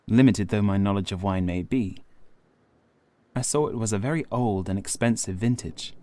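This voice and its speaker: A man reading a gothic horror audiobook in the first person, in a measured, formal storytelling manner. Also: lowish voice, dark tone. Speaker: audiobook narrator.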